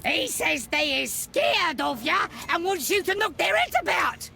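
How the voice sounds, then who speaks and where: Squeaky, scratchy goblin underling. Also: male voice, a little slow, creaky, faint music behind, exaggerated voice. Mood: nervous, servile. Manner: servile, excitable, shrill. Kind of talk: video game character acting.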